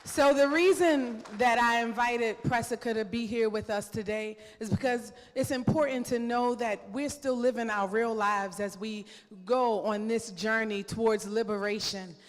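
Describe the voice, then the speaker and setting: An organizer rallies a convention audience with rhetorical questions and firm calls to act. The voice sits mid-pitch and rings out in a reverberant hall. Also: steady tone, normal speed, few pauses. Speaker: event organizer introducing a guest. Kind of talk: rousing convention speech. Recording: echoey hall.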